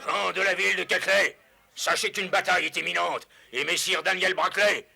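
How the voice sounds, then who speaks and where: An actor playing a gruff, bossy medieval soldier in an animated film dub, barking out commands. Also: male voice, high voice, normal speed, exaggerated voice. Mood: proclaiming.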